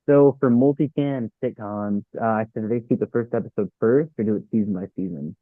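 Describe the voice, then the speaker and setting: Man, acting student asking casual questions. Tinny phone-band sound, dry, average pitch. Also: a little fast, no room sound. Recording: thin phone-like dry call audio.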